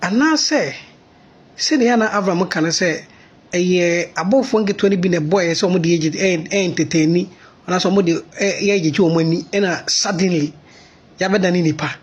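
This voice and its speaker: A man's voice: sits high, normal speed, husky, lo-fi sound. A Ghanaian man with a Ghanaian accent giving an impassioned commentary monologue, mixing Twi and English.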